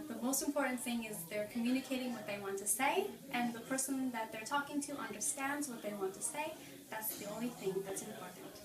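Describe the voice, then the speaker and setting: A woman in her twenties from Michigan, a university teacher explaining her view in a measured way. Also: fairly high pitch, echoey room, far from the mic. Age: 20s. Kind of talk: casual street interview answers.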